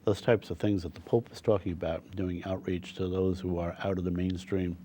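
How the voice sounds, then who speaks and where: Older man, interview guest, very low voice, mellow dark tone, earnest, repeats himself. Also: little pitch movement, a little hiss or hum. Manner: earnest, halting, repeats words often. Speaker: guest on a talk show. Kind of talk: casual interview conversation.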